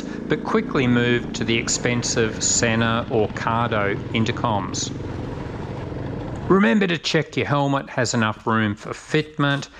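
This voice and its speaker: A man's voice: mid-range voice, noisy background. A middle-aged man narrating a scripted motorbike channel review in an informal, matter-of-fact way. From Australia.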